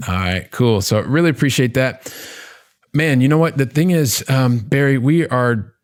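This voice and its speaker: A man hosting a podcast in a conversational interview style, with wordy, halting phrasing and catchphrases. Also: mid-range voice, expressive. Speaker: podcast host.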